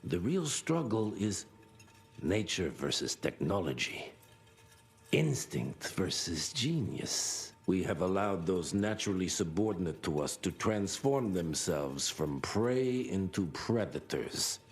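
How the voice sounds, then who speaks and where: An actor playing a grave, menacing vampire elder, delivering theatrical game monologues in an oratorical manner. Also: male voice, low voice, sing-song, slow pace, heavily acted. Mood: grave warning. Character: solemn, menacing male vampire elder addressing his kind. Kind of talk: slow theatrical villain monologue.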